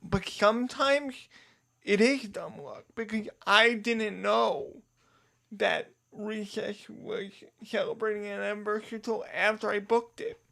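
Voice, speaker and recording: A man talks casually as a podcast guest. His delivery is unhurried and slow, and his voice crackles with a gravelly fry. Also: sits high, fairly even intonation, pushed and bright. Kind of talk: casual chat.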